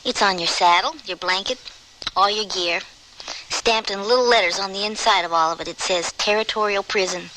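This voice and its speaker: A woman's voice: mid-range voice, normal speed, natural voice. A woman playing a forthright, folksy young farm girl in an old-time radio western. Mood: curious, blunt. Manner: folksy, blunt. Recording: slightly noisy radio drama recording.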